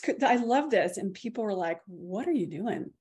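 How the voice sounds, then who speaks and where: A woman in her fifties hosts a relaxed conversation, her voice sitting at an ordinary middle pitch. She talks in a loose, rambling way, looping back through her thoughts with plenty of fillers. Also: normal speed, breathy edge. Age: 50s. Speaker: host, yoga and Reiki teacher. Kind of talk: casual podcast chat.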